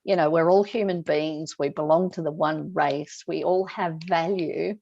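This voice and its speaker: A middle-aged woman with a gravelly, creaky edge to her voice, hosting a relaxed discussion. She thinks aloud and turns questions over to her guest. The audio is a little dull and lo-fi. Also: low-pitched, a little slow. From Australia. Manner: reflective, asks guest questions. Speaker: host. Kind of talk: conversational discussion.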